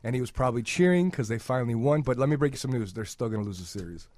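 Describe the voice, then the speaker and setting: A man's voice: medium pitch, expressive, a little mellow. A man from Chicago co-hosting a casual talk show, joking and teasing the others. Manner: joking, teasing, quick banter. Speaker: co-host.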